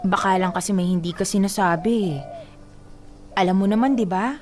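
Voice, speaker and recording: A woman's voice: mid-range voice, moderate pace, darkish tone, natural voice. An actress playing a nosy, talkative neighbor woman in a radio drama, prying and handing out blunt advice. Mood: insistent.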